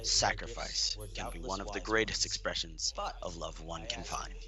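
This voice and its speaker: A man playing a solemn, wise storyteller who narrates a radio play in measured, formal, rhetorical phrases. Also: low voice, steady tone, crowd noise. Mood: solemn, reflective. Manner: measured, rhetorical, old-fashioned formal delivery. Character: male storyteller, wise, solemn, addressing dear listeners.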